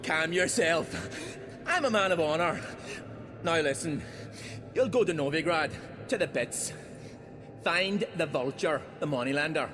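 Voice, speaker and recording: Pompous pirate in cavernous reverb. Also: male voice, on the high side, expressive, a little slow, long pauses, a bit bright. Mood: grandiose, imperious. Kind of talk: video game cutscene dialogue. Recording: long echoing reverb on the voice.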